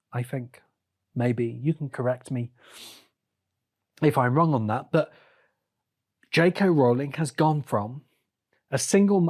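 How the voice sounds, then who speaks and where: A man hosting a solo podcast, giving opinionated commentary in deliberate, halting phrases with short bursts of emphasis. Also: a little high, a little mellow. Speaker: podcast host.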